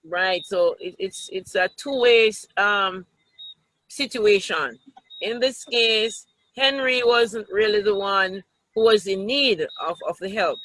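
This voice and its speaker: Bright, slow-speaking woman storytime teacher. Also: medium pitch, no room sound, rough recording. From Jamaica. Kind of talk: live talk to children.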